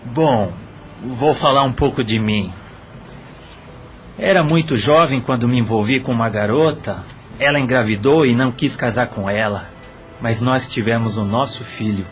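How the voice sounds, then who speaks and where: A man's voice: medium pitch, normal speed, close to an everyday voice. Man playing a confessing murdered brothel owner. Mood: matter-of-fact confession. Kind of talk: audio drama monologue. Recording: faint background noise.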